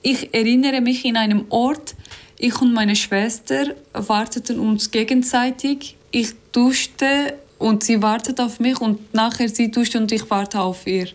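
Hesitant non-native woman, slow speech. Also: fairly high pitch, steady tone. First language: not German. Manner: hesitant, many fillers and restarts. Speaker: interviewee. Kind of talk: halting interview answers.

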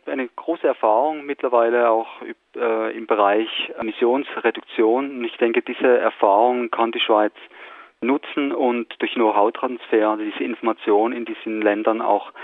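A man's voice: lowish voice, no echo. A man answering interview questions as a scientist, hesitant with many fillers. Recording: tinny phone line.

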